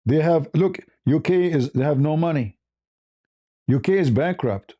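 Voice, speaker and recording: Mellow-voiced man with an Arabic accent. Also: fairly high pitch, measured pace. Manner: blunt, repeats phrases for emphasis. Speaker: livestream host. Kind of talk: impromptu opinionated monologue.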